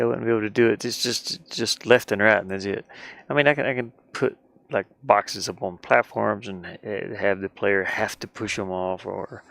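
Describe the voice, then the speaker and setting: Gravelly, tired man muttering as he works. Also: on the low side, fairly bright tone, no echo. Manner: muttering to himself, playful sing-song sounds. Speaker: indie game developer narrating own work. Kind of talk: casual rambling commentary while working.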